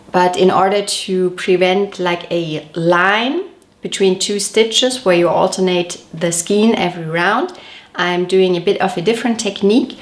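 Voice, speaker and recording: A woman hosting a knitting podcast, chatty and detailed, her voice a little off as she recovers from a cold. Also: mid-range voice. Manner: chatty, detailed, gently self-correcting. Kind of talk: casual show-and-tell podcast. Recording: echoey room.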